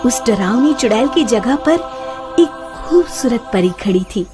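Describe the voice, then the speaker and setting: A woman's voice: a little high, lively intonation, unhurried, music in the background. A woman narrating a scripted cartoon story as its storyteller. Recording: noticeable background noise.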